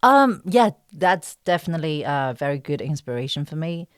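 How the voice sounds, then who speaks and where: A woman's voice: low-pitched, sing-song, moderate pace, smooth, no echo. Hesitant woman with Chinese accent, dry recording. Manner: hesitant, searching for words. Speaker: interview guest, actress and writer. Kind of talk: casual interview chat.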